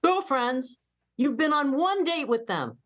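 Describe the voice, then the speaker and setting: A woman's voice: sits high, big pitch swings, a little slow. A woman reading the part of a blunt, sarcastic young female starship officer in a comedic table read. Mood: mocking. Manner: sarcastic, exclamatory.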